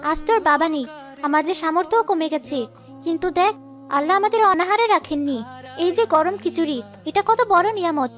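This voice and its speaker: A woman voicing a patient, pious mother in a cartoon, speaking in a gentle, consoling way. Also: very high voice, brisk, soft background music. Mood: wistful. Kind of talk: cartoon dialogue.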